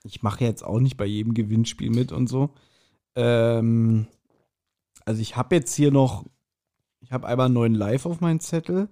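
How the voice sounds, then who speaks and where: A man's voice: medium pitch, moderate pace, pauses often, mellow. A man chatting casually as a podcast co-host, recalling stories in a loose, rambling way as he thinks aloud. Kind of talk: casual chat, personal anecdotes.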